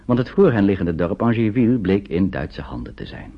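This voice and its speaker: An actor narrating a radio play as a grave storyteller, in a measured, suspenseful, scripted style. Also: male voice, lowish voice, very animated, breathy edge, heavily acted. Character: grave storyteller recounting a wartime flight. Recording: dull old radio recording.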